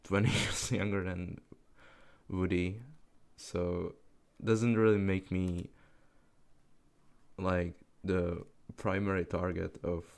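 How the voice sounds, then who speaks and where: A man's voice: low-pitched, flat delivery, slow pace, creaky. An eighteen-year-old man, rambling unscripted over gameplay in a self-deprecating, swearing, laugh-filled way. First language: Czech. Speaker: gaming commentary YouTuber.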